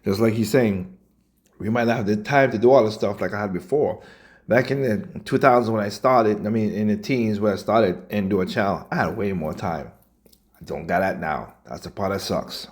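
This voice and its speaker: A man in his forties hosting a gaming channel, speaking in casual commentary, often rising to loud, emphatic outbursts. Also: fairly low pitch, normal speed. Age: 40s. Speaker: channel host reacting to clips.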